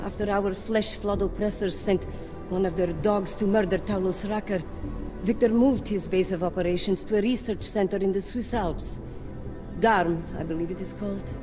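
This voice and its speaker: An actress playing a fanatical cult high priestess in a video game, theatrical, pompous and reverent. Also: female voice, steady tone, moderate pace, natural voice. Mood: proud. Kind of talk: cinematic video game acting. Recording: dramatic music behind the voice.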